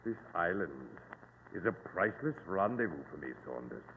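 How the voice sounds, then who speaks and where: An actor playing a menacing, boastful pirate captain in formal, grandiloquent old-time radio drama style. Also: male voice, very animated, moderate pace, in a large hall. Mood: cold menace. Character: menacing, boastful modern pirate captain holding captives at gunpoint. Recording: muffled, noisy old radio recording, boomy.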